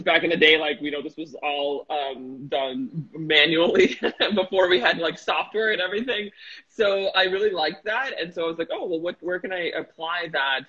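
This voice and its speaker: A woman's voice: low-pitched, a bit bright, slightly rough, dull audio. A woman in her thirties, born in the former Soviet Union with Russian as her first language, chatting animatedly as an interview guest over a video call, with lots of fillers and restarts. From former Soviet Union, raised in Chicago. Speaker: guest, marketing entrepreneur. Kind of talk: casual interview chat. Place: small room with a glass wall, indoors. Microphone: laptop webcam on a video call.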